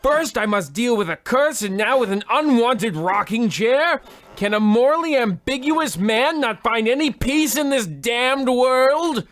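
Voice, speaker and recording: A man's voice: very high-pitched, animated, unhurried, a bit bright, dry recording, heavily acted. An actor playing a pompous, overwrought husband half out of his wits, theatrical and florid, in a comedic old-time radio play. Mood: outraged.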